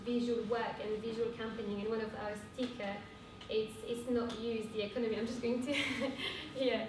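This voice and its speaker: Young french woman talking to a room, kinda high voice, far from the mic with a big echo. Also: steady tone, normal speed. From France. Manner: earnest, hesitant with frequent fillers. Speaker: cooperative speaker. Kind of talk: conference talk. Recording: echoey hall from far off with rumble.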